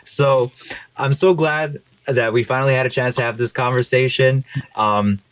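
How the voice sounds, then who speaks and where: A man's voice: medium pitch, fairly even intonation, normal speed, a bit bright, clear, resonant tone, no echo, poor audio. A man hosts a casual podcast interview with an eager, upbeat manner. His voice sits in an ordinary middle range, and he fills the gaps with friendly asides. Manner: upbeat, hyping up guests. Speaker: podcast host.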